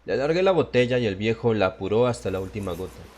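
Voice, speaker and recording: A man's voice: on the low side, lively intonation, fast, a little mellow. A man reading scripted horror fiction as an audiobook narrator.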